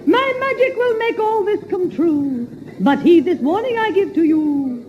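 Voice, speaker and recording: A woman's voice: very high voice, very animated, measured pace, exaggerated voice. A woman playing a commanding fairy godmother, declaiming singsong rhymes in a radio pantomime. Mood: grand, commanding. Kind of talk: rhyming 1960s radio pantomime verse. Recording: dull, faintly noisy 1960s radio, audience.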